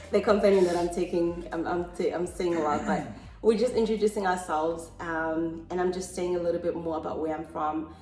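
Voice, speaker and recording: A chatty South African woman and mother, hosting a casual family Q&A and pausing often with ums. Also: lowish voice, dark tone, echoey room, soft background music. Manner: chatty and hesitant, with frequent ums.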